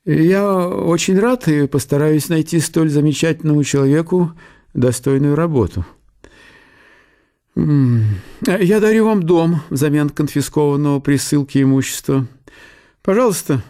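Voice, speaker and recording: A man's voice: on the high side, moderate pace, dark tone, close to an everyday voice. A man playing a gracious but impatient tsar in a radio play, affable and effusive. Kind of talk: theatrical radio play dialogue.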